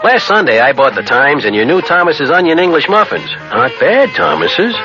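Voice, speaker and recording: A man's voice: a little high, big pitch swings, moderate pace, music in the background. An actor playing a drily approving city customer reading his letter in a vintage radio commercial. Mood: dry, approving. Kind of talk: 1970s radio commercial acting. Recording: dull vintage radio broadcast.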